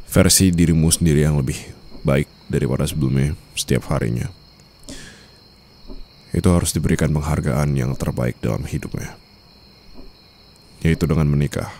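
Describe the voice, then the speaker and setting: A man playing a menacing, teasing mafia boss newly married, in an ASMR romance roleplay, with a gravelly, murmuring voice and a smug, formal, controlled manner. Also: warm tone, a little hiss or hum. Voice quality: very deep, gravelly, often dropping to a breathy murmur.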